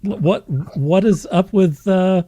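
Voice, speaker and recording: A man's voice: high-pitched, measured pace, dark tone, dry recording. A man hosting a podcast in casual, chatty banter. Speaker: podcast host.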